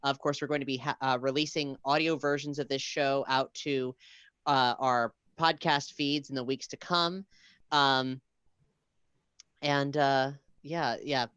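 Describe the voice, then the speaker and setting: A podcast host with a smooth, clean voice in a dry, close recording. The delivery is casual and halting, addressing a livestream audience with announcements and thanks. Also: medium pitch, steady tone, a bit bright, no echo. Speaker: host of an audio drama podcast.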